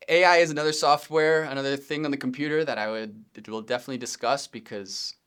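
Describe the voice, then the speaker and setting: A man in his thirties from Pennsylvania, hosting a casual solo podcast from his home studio in a relaxed, rambling way with many ums and self-corrections. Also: mid-range voice, fast talker, clear, resonant tone. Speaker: podcast host, video editor.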